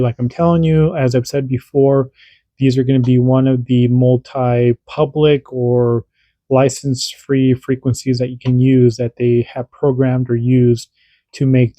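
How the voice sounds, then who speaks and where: Casual male tutorial host, warm low-key tone, dry close sound, rambling explainer. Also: no echo, dull audio. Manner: chatty, repeats himself, radio checks. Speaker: radio hobby channel host.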